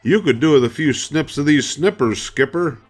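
A man's voice: a little high, normal speed, soft background music, voice pushed up, lightly acted. An older man voicing a teasing workman in a storybook.

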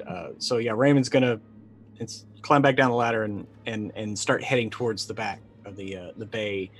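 A man in his forties casually narrating his character's moves as a game player, in stop-start phrases. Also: mid-range voice. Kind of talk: casual improvised roleplay. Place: indoor room.

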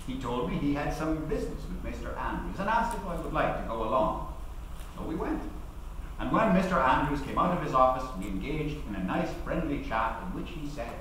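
An ageing labour activist played by a man addresses a hall in a theatrical, oratorical way. He is principled and defiant, with deliberate dramatic pauses. The voice rings with heavy echo, caught away from the mic with faint noise. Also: a little high, lively intonation, a bit bright, distant mic. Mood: reminiscing, measured. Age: middle-aged. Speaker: actor giving a dramatic reading. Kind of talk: theatrical reading of a public speech. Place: lectern on stage in a large hall.

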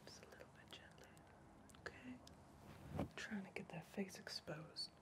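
A young woman playing a weary, defiant member of a backwoods crime family in an ASMR roleplay, in a hushed, halting breathy whisper. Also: mid-range voice, animated, close to an everyday voice. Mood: cautious. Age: young adult. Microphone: close ASMR microphone.

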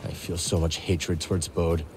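Deep-voiced, earnest young warrior. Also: male voice, level tone, moderate pace, background noise. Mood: somber, earnest. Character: earnest, troubled young male Jedi warrior. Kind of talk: cinematic video game acting. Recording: music behind the voice.

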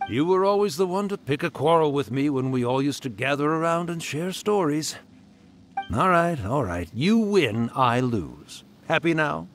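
A wry, weary old spirit. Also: male voice, fairly high pitch, expressive, moderate pace, faint music behind. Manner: wry, resigned, fond. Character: ancient fading tanuki storyteller spirit, wry and weary. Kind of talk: video game voice acting.

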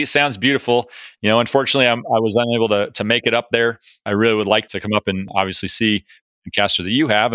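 A man's voice: lowish voice, pushed and bright, no room sound, studio quality. A man cohosting an aviation podcast, chatty and upbeat, with a few jokes between topics. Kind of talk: podcast monologue and interview.

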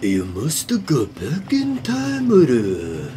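A man voicing an ominous female seer professor in a slow, dramatic, prophetic way, as part of a comic reading. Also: mid-range voice, huge pitch swings, background noise, voice pushed down, full character voice. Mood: solemn. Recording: music playing underneath.

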